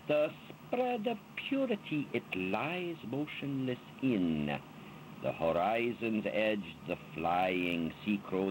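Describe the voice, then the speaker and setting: A man giving an expressive, dramatic poetry recitation in a theatrical manner, drawing out his words. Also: medium pitch, a little hiss or hum, low-quality recording. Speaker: reader of poetry.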